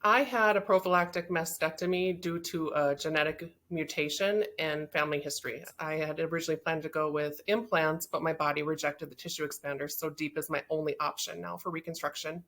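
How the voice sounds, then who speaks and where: 40s woman, really low voice, just matter of fact about it. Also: fairly even intonation, a little fast, hardly any pauses, a bit bright. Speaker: patient. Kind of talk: interview testimony.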